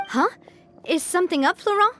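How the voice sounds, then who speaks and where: High-voiced, caring young female leader. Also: expressive, moderate pace, fairly bright tone, slight background noise. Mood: alarmed. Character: warm, responsible young female leader of a protective society. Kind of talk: scripted video game voice acting.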